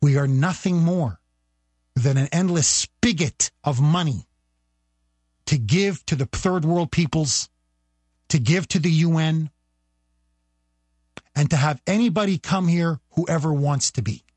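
A man guest-hosting a talk radio show, talking in a forceful, rhetorical way and speeding up as he presses his points. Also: fairly high pitch, unhurried, long pauses, husky, dry recording. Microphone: studio microphone.

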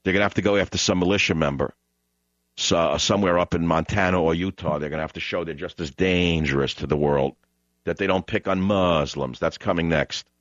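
A man's voice: low voice, fairly quick, no echo. A man hosting a call-in radio talk show, emphatic and combative, repeating lines for effect. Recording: dull radio broadcast sound.

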